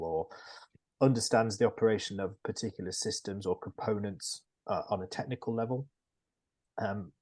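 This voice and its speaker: Man, lowish husky voice, measured and explanatory, webinar panelist. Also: darkish tone. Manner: measured, explanatory, with frequent ums. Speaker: construction lawyer panelist.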